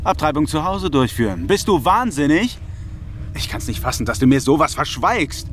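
An actor playing an angry, accusing husband on a space crew in an audio drama, confrontational and sarcastic. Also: male voice, a little high, very animated, normal speed, fairly bright tone, faint music behind.

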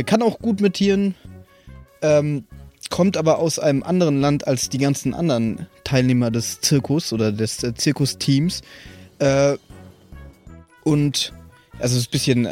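A man's voice: medium pitch, a little mellow. A man chatting casually as a podcast co-host about making game characters. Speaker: podcast co-host and tabletop role-player. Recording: music playing behind. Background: background music.

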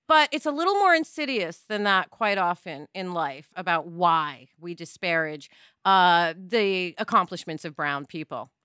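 A woman's voice: on the low side, animated, measured pace, pushed and bright, smooth, no room sound, clean studio sound. A woman hosting a podcast, talking casually in an emphatic, candid way. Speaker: podcast host.

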